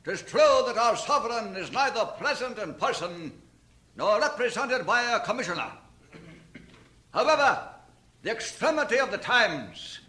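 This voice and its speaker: An actor playing a stern lord presiding over a Scottish Parliament, declaiming formally in old radio drama style. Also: male voice, very high-pitched, sharp tone, heavily acted. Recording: echoey hall sound.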